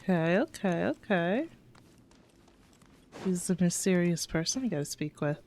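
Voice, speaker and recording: Unhurried woman streamer reacting to a game. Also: on the low side, animated, slight background noise. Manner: giggly, exclamatory, swears casually. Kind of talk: casual live game reaction commentary. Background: soft game music.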